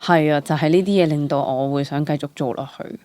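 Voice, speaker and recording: A young woman with a Hong Kong Cantonese accent speaks in a lowish voice, recorded close and dry. She chats casually and expressively as a guest, dropping English words into her sentences. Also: fairly quick, dry recording. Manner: animated storytelling with exclamations, English words mixed in. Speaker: guest, stage actress. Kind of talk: casual personal interview chat.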